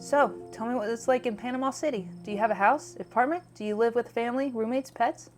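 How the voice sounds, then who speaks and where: A woman's voice: a little high, quick pace, soft background music, close to an everyday voice. A woman reading a visual novel's friendly small-town woman, chatty, curious and earnest.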